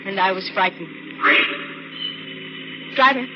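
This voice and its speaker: A woman's voice: mid-range voice, takes it slowly, close to an everyday voice. An actress playing an anxious, pleading woman in an old radio thriller, hesitant and melodramatic. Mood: nervous. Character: anxious, frightened, pleading female stranded passenger. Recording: very noisy, muffled vintage radio recording.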